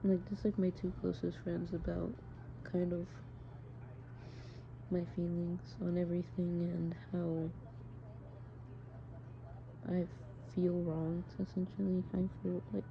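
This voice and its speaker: A young adult woman talking haltingly and informally, as if into a personal audio diary. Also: fairly low pitch, level tone. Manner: hesitant, halting, informal with swearing. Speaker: audio diarist. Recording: muffled home recording, clear background noise. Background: background noise, friends' voices.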